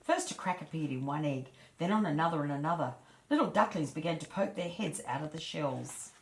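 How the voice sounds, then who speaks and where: A woman storyteller reading a children's fairy tale aloud in a measured, expressive way. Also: sits low. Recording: echoey room.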